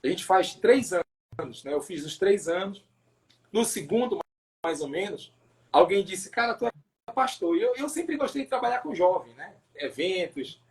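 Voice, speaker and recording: A man from Pará with a northern Brazilian accent telling his personal testimony as a pastor in a casual interview, haltingly, repeating words and adding tag questions. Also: high voice, expressive. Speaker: pastor, podcast guest.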